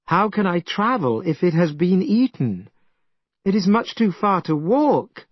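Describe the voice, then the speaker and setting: A man's voice: a little high, normal speed, no echo, pitched above the performer's own voice, natural voice. A timid young priest whines in a raised, tearful voice, as a man reads him aloud. He sounds sorry for himself and pleading. The recording is dry and a little dull. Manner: whining, tearful. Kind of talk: storybook reading.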